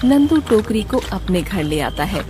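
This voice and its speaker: A woman's voice: medium pitch, very animated, a little slow, warm tone. Woman narrating a cartoon tale over music. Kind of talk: scripted storybook narration for a cartoon.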